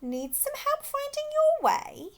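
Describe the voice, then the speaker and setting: A squawky, helpful seabird acted out by a woman storyteller. The voice is pushed way up high, breathy and drawn out, for a children's picture-book story. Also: sing-song, slow pace, heavily acted. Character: helpful seabird, a snow petrel calling down. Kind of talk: children's storybook read-aloud.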